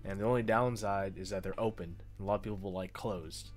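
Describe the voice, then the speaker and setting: A man's voice: deep voice, fairly even intonation, faint music behind. A young man talking casually over a video call, stumbling and restarting his sentences. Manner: stuttering, halting restarts. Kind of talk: casual live-stream chat. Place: small room at home.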